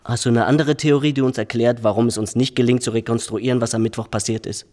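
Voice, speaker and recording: An actor in a German radio drama playing a matter-of-fact, methodical police detective who reasons in a measured, factual way. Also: male voice, mid-range voice, fairly even intonation, a little mellow, long reverb tail, lightly acted. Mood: challenging.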